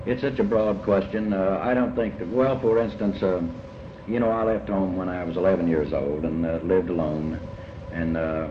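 Older man, deep voice, halting reflective interview speech, repeated words, muffled sound, clear background noise. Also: fairly even intonation. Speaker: interviewee recalling early life. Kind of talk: reflective oral history interview. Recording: muffled, noisy, echoey room recording.

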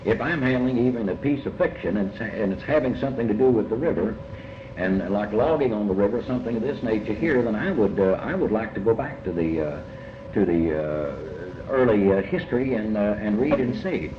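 An older man talks reflectively in an interview. He hesitates often, repeats himself and wanders through his thoughts. The tape is muffled, with clear noise behind him. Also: fairly low pitch, animated. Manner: halting, rambling, with many repetitions and long hesitations. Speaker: writer and lecturer being interviewed. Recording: muffled, echoey, noisy amateur interview tape.

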